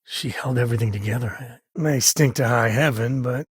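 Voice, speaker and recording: A rugged frontier spacefarer from a video game, an American man with a husky, medium-pitched voice. He speaks in a folksy, earnest way with a wry edge, like a protective father. The sound is dry and close. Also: moderate pace, no echo. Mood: earnest, measured. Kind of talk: video game character voice acting.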